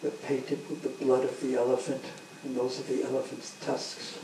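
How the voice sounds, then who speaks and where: A man's voice: mid-range voice, flat delivery, moderate pace, natural voice. A man voicing a royal assistant who reports news plainly. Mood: reporting. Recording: roomy, distant, faint background hum.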